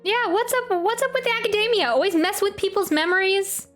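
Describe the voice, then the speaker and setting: A woman's voice: very high voice, brisk, fairly bright tone. A woman giving casual, playful live commentary on a game, informal and slangy. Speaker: streamer playing and reacting to a game. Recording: faint game music behind.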